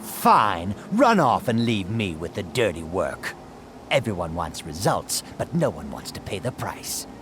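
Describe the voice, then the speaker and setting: Grumpy old arrogant creature scientist, game cutscene, super animated pitch, barking and impatient. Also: male voice, measured pace, noisy background. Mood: callous, exasperated. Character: cranky, arrogant old male goblin-like inventor, bossy and abrasive. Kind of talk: video game cutscene acting.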